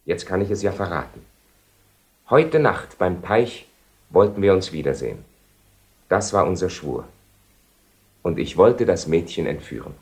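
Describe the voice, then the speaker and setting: A defiant young bridegroom in an old radio play. His voice sits fairly low and moves through big, restless swings. The delivery is earnest, proud and theatrical. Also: expressive, a little slow, long pauses, darkish tone. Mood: urgent confession. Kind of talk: 1970 German radio drama acting.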